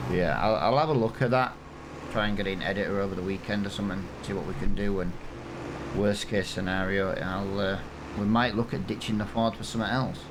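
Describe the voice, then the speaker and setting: A man's voice: on the low side, background noise. An English man in his thirties, rambling and self-mocking as he gives casual commentary over a farming game. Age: 30s. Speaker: gaming channel host. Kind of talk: casual let's-play commentary.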